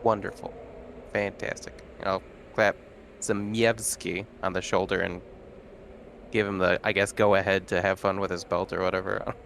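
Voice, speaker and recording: A man's voice: deep voice, flat delivery, moderate pace, gravelly, fairly bright tone, a little hiss or hum. A man playing a tabletop game as a player, with laid-back, dry replies.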